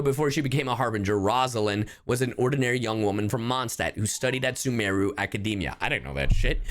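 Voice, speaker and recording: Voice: mid-range voice, fairly bright tone. A streamer giving casual live commentary in an exasperated, slangy, profanity-heavy way. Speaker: streamer reacting to game voice lines.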